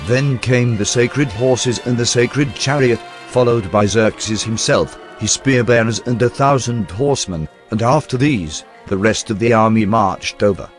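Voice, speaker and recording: A man reading a historical account aloud in a measured, storytelling documentary narration. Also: mid-range voice, faint music behind. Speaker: reader of historical text.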